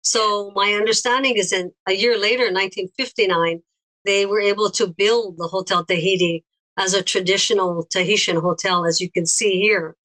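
A woman guest with a slight foreign accent, recalling memories in a gentle, unhurried way with pauses. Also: mid-range voice, fairly even intonation, rough recording. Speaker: interview guest. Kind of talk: recollecting in conversation.

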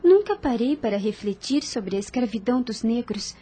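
An actress playing a compassionate, grave young plantation lady in a radio drama, earnest and measured, with a Brazilian accent. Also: fairly high pitch, expressive, dark tone, slightly rough. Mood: pensive, troubled. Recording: music under the voice.

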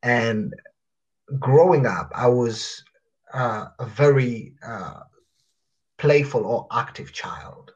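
Man in his 30s from Uganda, husky low voice, muffled lo-fi audio, unhurried storytelling guest. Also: lively intonation, a little mellow. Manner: reflective, halting with uh fillers. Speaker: guest author. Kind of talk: interview, personal storytelling.

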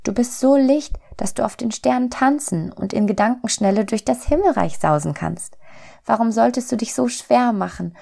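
A woman voicing an eager, curious, childlike little soul in a storybook, excited and full of questions. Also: fairly high pitch, moderate pace, vocal fry, pitched above the performer's own voice. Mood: puzzled, worried. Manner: excited, questioning, wide-eyed.

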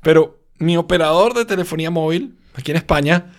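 A man's voice: on the high side, fairly quick. A sleepy man from Venezuela with a Venezuelan accent, hosting a podcast and telling a rambling story full of little tag questions. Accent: Venezuelan Spanish. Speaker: podcast host. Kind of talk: casual podcast chat.

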